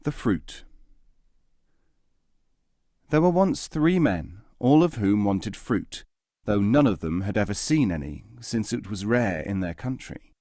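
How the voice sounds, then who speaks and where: A man narrating scripted tales as an audiobook reader, in a measured, articulate storytelling manner. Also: fairly low pitch, big pitch swings, mellow, dry recording, clean studio sound.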